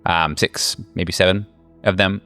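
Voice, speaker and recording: A man with a fairly low, smooth voice narrates a tabletop horror game as game master. He improvises casually and haltingly, with slangy, joking turns, over faint background music. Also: fairly even intonation, sharp tone.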